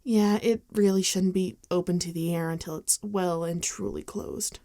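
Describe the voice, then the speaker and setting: A woman playing a gentle, rueful vampire bartender in an intimate ASMR roleplay, soft-spoken and hesitant, often dropping into a whisper. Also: fairly low pitch, fairly even intonation, normal speed, close to an everyday voice. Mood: tender, careful. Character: gentle, rueful female vampire bartender tending a wounded companion.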